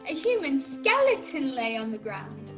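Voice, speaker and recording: A woman's voice: high-pitched, sing-song, a little slow, soft background music. A woman playing a young cabin boy who narrates his adventure in a scripted radio drama. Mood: storytelling.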